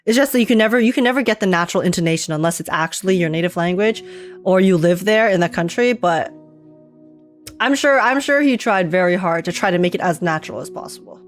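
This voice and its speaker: A woman's voice: lowish voice, quick pace, soft background music. A woman streaming a live game reaction, rambling casually with lots of fillers and frequent laughs.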